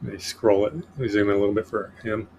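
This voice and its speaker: A man with a fairly low voice hosts a casual political podcast. He works through his notes and reads them aloud, and his delivery stays dry and sardonic. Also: fairly even intonation, fast talker, darkish tone, slight background noise. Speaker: podcast host.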